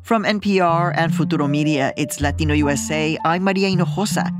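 A woman's voice: low-pitched, fairly quick, loud music behind the voice. A woman hosting a radio program, reading scripted narration and putting questions to a guest. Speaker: radio host.

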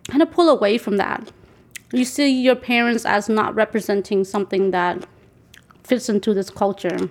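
A woman in her forties chatting casually in a kitchen as she cooks, laughing often and explaining each ingredient. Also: mid-range voice. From United States. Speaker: guest cooking in a recorded segment. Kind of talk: casual chat while cooking. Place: home kitchen.

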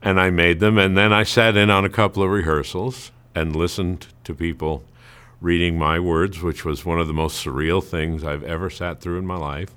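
Low-voiced man telling casual anecdotes. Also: fairly even intonation, moderate pace, a bit bright, clean tone. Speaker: amateur playwright being interviewed. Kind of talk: casual anecdotal interview talk.

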